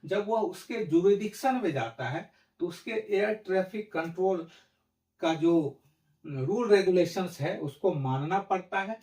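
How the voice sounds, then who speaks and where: High-pitched man teaching, roomy echo. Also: a little mellow. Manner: didactic, step-by-step explaining. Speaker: educational presenter. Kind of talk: explanatory lesson. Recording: roomy echo, distant microphone.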